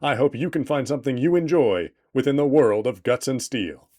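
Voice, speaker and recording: Man, mid-pitched voice, scripted promo narration, game creator presenter, playful tongue-in-cheek delivery. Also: animated, normal speed, a little mellow.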